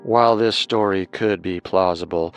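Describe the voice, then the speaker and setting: A man narrates a scripted true-crime story as co-host. His voice is on the low side, and music plays faintly behind him. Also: normal speed.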